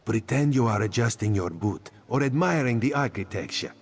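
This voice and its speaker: An actor playing a sly, catlike male spymaster in a fantasy video game, who talks about himself in the third person in a hushed, conspiratorial way. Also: medium pitch, normal speed, a crowd in the background, lightly acted. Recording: game audio with faint music.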